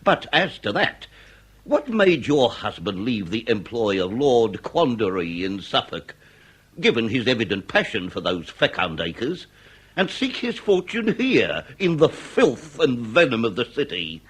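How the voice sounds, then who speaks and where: An actor playing a pompous, blustering Victorian police inspector in a comic period radio drama, with florid, officious speech. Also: male voice, medium pitch, big pitch swings, a little slow, breathy edge, poor audio. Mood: officious questioning. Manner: florid formal speech, officious, easily exasperated.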